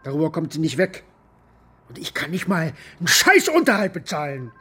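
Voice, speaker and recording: An actor playing a bitter, maimed former military contractor who rambles coarsely and defensively in a naturalistic radio drama monologue. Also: male voice, fairly high pitch, huge pitch swings, brisk, sharp tone, breathy edge, exaggerated voice. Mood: bitter, brooding. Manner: coarse, defensive, agitated rambling with rhetorical questions.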